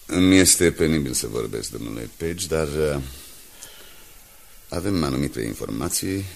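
An actor playing a falsely apologetic blackmailer in a radio drama, formal and deliberate, with feigned politeness. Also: male voice, very deep voice, expressive, gravelly, exaggerated voice. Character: smooth-talking, falsely apologetic male blackmailer.

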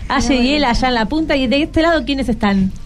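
Higher-voiced Argentine woman hosting radio. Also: fairly quick, faint music behind. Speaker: radio host. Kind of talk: casual interview with schoolchildren. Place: radio studio.

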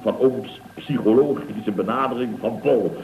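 An actor with a Netherlands Dutch accent playing a blustery, sarcastic middle-aged building contractor boss in a radio comedy, lecturing pompously. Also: male voice, mid-range voice, a little mellow, natural voice. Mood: smug lecturing. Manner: pompous, drawn-out, mock-casual lecturing. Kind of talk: 1960s-70s radio comedy acting. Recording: roomy, muffled, hissy old radio recording.

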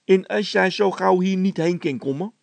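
A man voicing an eager, questioning village workman in a storybook, loud and excitable. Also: high-pitched, moderate pace, gravelly, no echo, voice pushed up.

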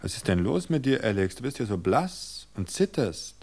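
A man voicing a controlling, suspicious husband who speaks with quiet amusement. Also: on the low side, sing-song, moderate pace, vocal fry, full character voice. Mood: insistent. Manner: amused, insistent. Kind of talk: audiobook reading of a thriller.

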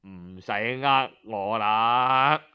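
Guy doing a kindly old doctor hermit for a cantonese folk story, deep and unhurried, bit muffled. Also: smooth. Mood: genial. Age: older. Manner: unhurried, gently reassuring, courteous. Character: kindly, courteous old male physician and hermit.

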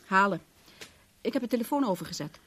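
A woman's voice: medium pitch, animated, fast, a bit bright, breathy edge. A woman acting a detective's teasing, practical office partner in a Dutch radio drama.

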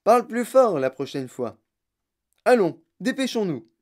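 A narrator acting an excitable, hurried eccentric factory owner. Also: mid-range voice, voice pushed up, lightly acted. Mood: impatient, hurried. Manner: exclamatory, impatient, emphatic.

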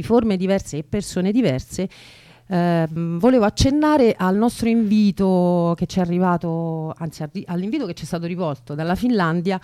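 A woman's voice: lowish voice, mellow, no room sound. Chatty woman co-hosting radio, dry sound. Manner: informal, colloquial. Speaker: radio show co-host. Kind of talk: chatty event announcements.

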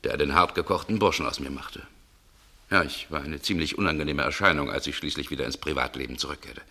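Gravelly low-voiced sardonic man. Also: sharp tone, exaggerated voice. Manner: dry, ironic, nonchalant. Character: suave sardonic actor with a burglar past. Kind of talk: German radio drama acting.

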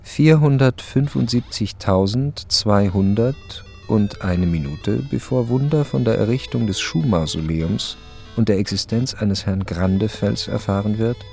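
A man reading scripted literary narration as the narrator of an audio drama, with a slightly creaky voice. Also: on the low side, a little slow, darkish tone, soft background music.

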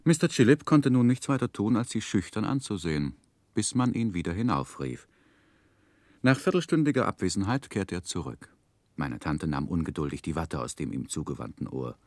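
A man recounting his life in a 1960s literary radio play. His voice is low and moves expressively up and down. The delivery is measured and gently wry, recorded close and dry. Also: lively intonation, no echo. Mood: wry, narrating. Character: wry grown man narrating his own life story. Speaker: narrator. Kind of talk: scripted literary narration in 1960s radio drama.

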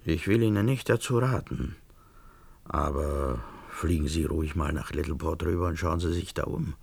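An actor in a German science fiction radio play, playing a wary, evasive barkeeper with a gravelly, hesitant delivery. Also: male voice, rumbling low, moderate pace, no room sound, full character voice. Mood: cautious. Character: wary, evasive barkeeper who knows more than he says.

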